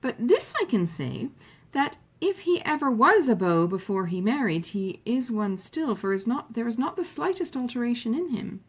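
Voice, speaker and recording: Woman as a composed, genteel young lady, lively pitch. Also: normal speed, slightly rough, poor audio. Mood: dryly emphatic.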